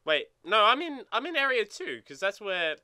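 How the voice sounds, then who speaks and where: A man with a very bright, forceful voice banters over a video game, clear and closely miked with a dry sound. He is casual, sweary and joking, repeating phrases for emphasis. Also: high voice, sing-song, moderate pace, no room sound. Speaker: let's play co-host and gamer.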